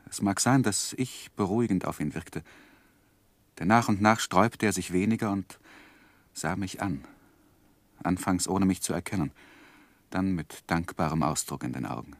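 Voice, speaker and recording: Deep grave guy telling his life story, old radio drama, very dry sound. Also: no room sound. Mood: anguished recollection. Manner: grave, measured, literary. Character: earnest gentleman narrating his own life story. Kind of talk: 1960s radio drama narration.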